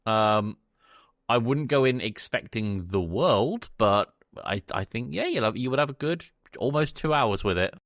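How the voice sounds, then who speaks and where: A man's voice: mid-range voice, animated, unhurried, fairly bright tone, no echo, clean studio sound. A man co-hosting a podcast, giving his opinions on films in an informal, conversational way, thinking aloud. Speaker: podcast co-host. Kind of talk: casual film review chat.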